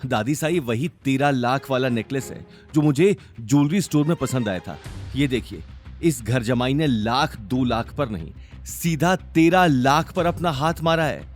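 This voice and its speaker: A man's voice: medium pitch, lively intonation, unhurried, soft background music, lightly acted. A man voicing an angry, spiteful young woman within a narrated audio story. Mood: angry, accusing. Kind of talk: storytelling character dialogue.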